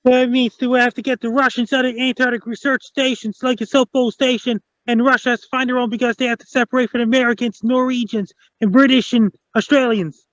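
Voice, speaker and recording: A man's voice: extremely high pitch, flat delivery, fairly quick, fairly bright tone, no echo. A man giving news-like commentary as a show guest, through a dull lo-fi recording.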